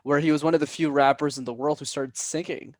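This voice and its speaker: A young man being interviewed as a public speaking coach, speaking with clear, deliberate enunciation in a coaching tone. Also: on the high side, steady tone. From Canada. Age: young adult. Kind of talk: podcast interview.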